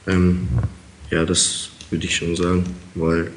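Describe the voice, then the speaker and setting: A man's voice: deep voice, completely flat delivery, takes it slowly, a little hiss or hum, poor audio. A young man from Kazakhstan answering interview questions as an inmate, hesitant with many fillers. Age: young adult.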